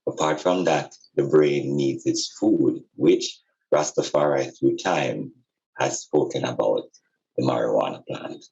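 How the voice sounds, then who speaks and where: Deep voiced guy, talks real slow and deliberate, explaining spiritual healing stuff, kinda muffled recording. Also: creaky, a little mellow. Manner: deliberate, emphatic, repeats phrases for stress. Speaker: podcast speaker and spiritual teacher.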